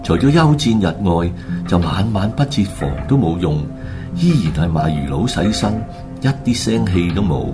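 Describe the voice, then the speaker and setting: A man's voice: low-pitched, lively intonation, normal speed, over music. A man with a Hong Kong Cantonese accent, narrating a scripted comic radio story.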